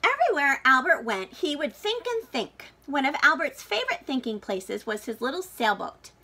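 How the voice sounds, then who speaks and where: A woman with a high, very lively voice reads a children's storybook aloud in the manner of a teacher. Her tone is bright and clear-cut, and she leans on important words, stretching them out for young listeners. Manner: expressive, deliberate emphasis with drawn-out key words. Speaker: teacher reading a picture book aloud.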